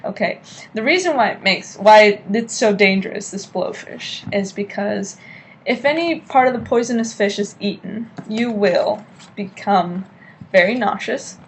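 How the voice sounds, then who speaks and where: A woman's voice: medium pitch, measured pace, slight background noise. Chatty young woman giving a class talk. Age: young adult. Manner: chatty, keeps checking in with "okay?". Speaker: student giving a class speech. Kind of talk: informal informative speech. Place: hotel room at night, lamp-lit. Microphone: laptop webcam at desk.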